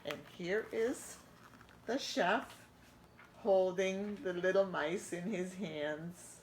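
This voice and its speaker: A middle-aged woman reading a picture book aloud to children, expressive and pointing out the pictures. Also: lowish voice, slow, a little hiss or hum. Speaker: children's storytime reader.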